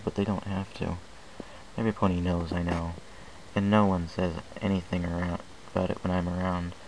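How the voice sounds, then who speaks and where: A man narrates fan fiction in the first person. His voice is fairly low with a gravelly creak. The homemade recording carries some noise. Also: darkish tone, poor audio.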